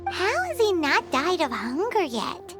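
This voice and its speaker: A woman voicing a small, childlike fairy companion in a video game, with a squeaky, excitable, chatty delivery. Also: measured pace, faint music behind, full character voice. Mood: indignant. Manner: refers to self in third person, exclamatory.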